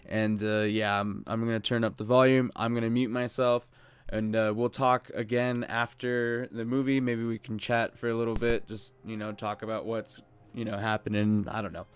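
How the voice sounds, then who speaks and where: A man's voice: lowish voice, flat delivery, moderate pace, smooth, no room sound. A man hosting a livestream, talking informally and a bit ramblingly while thanking his viewers. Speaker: live streamer, host. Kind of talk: casual livestream chat intro.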